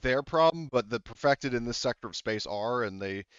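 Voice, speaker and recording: A man's voice: mid-range voice, expressive, moderate pace, a bit bright, clean tone, no room sound. Rambling man running a game. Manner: casual, rambling with many fillers. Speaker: game master. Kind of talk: running a tabletop roleplaying game. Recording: dry, slightly dull lo-fi audio.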